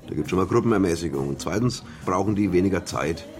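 An actor playing a sly, evasive nightclub manager in a radio drama. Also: male voice, sits low, animated, quick pace, soft background music. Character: evasive, smirking male nightclub manager in Czech border region. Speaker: club manager. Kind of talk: naturalistic radio drama acting.

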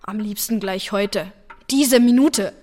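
An actress playing a practical, headstrong yet tender young working-class wife in a radio play, speaking directly and matter-of-factly. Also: female voice, mid-range voice, slow pace, a little mellow, soft background music. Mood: indignant, determined.

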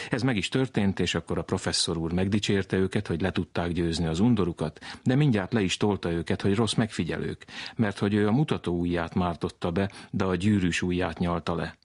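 A male host with a low, slightly rough voice. He presents in conversational narration, explaining and storytelling as he goes, with a dry, close sound. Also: dry recording. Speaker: radio programme host.

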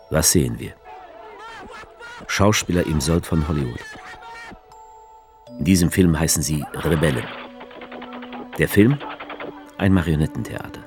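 A sardonic author, extremely deep. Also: male voice, a little mellow. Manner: sardonic, mocking narration. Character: sardonic male author guiding the dictator. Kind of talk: radio play narration. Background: music.

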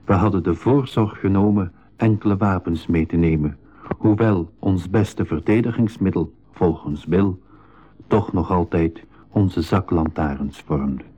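A man acting as an apprehensive safari member who tells his adventure in a radio drama in measured, literary language. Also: low-pitched, mellow, dull audio, slight background noise. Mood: measured narrating. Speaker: narrator and member of the expedition. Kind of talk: scripted radio drama narration.

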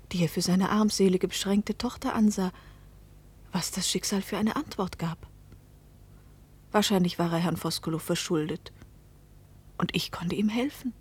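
An actress playing a young woman who narrates her own story in a radio play, reflective and measured. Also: lowish voice, darkish tone, slightly rough.

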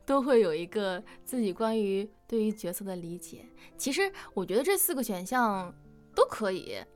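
A woman's voice: medium pitch, expressive, moderate pace, a little mellow, faint music behind. A woman hosting a playful live-stream question-and-answer session. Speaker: host of a live Q&A with voice actors.

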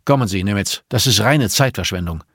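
A man voicing a stern, merciless police investigator in an audiobook, forceful, clipped and menacing. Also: fairly low pitch, lively intonation, brisk, slightly rough, no echo, studio quality, exaggerated voice. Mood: cold, resolute.

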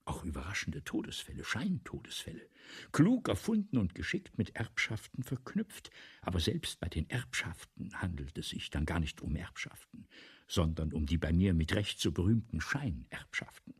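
Radio play lead: a vain, excitable playwright in debt, theatrical, hugely varied pitch, husky. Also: male voice, moderate pace, full character voice. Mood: smug. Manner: theatrical, declaiming, abruptly dropping from exclamation to murmur. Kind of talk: German radio play monologue.

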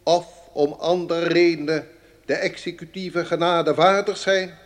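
An actor playing a pompous state governor in a radio drama, solemnly declaiming an official proclamation. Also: male voice, on the high side, steady tone, unhurried, natural voice.

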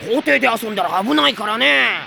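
A man's voice: very high-pitched, lively intonation, fast talker, gravelly, bright, forceful tone, slight background noise, exaggerated voice. An actor playing a sly middle-aged man, wheedling and falsely friendly, in an audio drama. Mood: falsely cheerful. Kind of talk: Japanese audio drama acting.

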